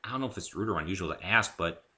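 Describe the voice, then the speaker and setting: Guy reading as polite curious dude in a story, hesitant and casual, lowish bright voice. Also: animated, racing. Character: polite, curious male visitor named Dave.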